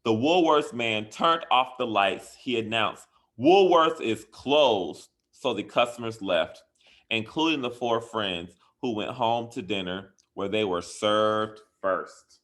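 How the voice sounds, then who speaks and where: A man reading a picture book aloud to children in an expressive way, with deliberate, emphatic phrasing and dramatic stresses. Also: lowish voice. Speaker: school educator reading a bedtime story.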